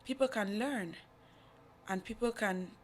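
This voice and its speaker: Halting Cameroonian woman, reflective interview guest. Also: a little high, slight background noise. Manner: halting, pausing mid-thought. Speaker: podcast guest, charity representative. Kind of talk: reflective personal account.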